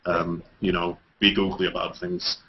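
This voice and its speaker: A man's voice: low-pitched, flat delivery, normal speed, lo-fi sound, slight background noise. A man in his thirties from Denmark, a guest in a video call interview, talking with many fillers and restarts.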